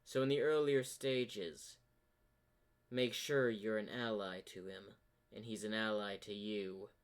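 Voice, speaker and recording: A man voicing a sinister corrupted zebra, a commanding and menacing dark mastermind in a fan-made story. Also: lowish voice, fairly even intonation. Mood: scheming. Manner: slow, ominous, commanding.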